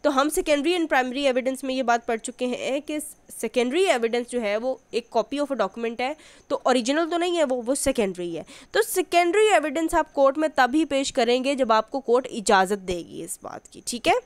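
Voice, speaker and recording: Clear, higher-voiced Pakistani woman giving a lecture. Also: expressive, normal speed, no echo. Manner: didactic, rephrases and repeats points. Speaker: law lecturer. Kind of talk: explanatory lecture mixing read-out passages with explanation.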